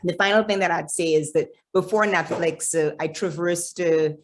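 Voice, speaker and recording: A woman's voice: on the low side, normal speed, slight background noise. A woman from Trinidad and Tobago with a Trinidadian accent, introducing herself on a panel in a chatty, self-assured way over a video call.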